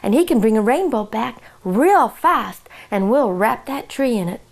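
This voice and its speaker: A woman voicing a kindly old Santa Claus who speaks gently and slowly. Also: a little high, very animated, heavily acted. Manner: gentle, slow.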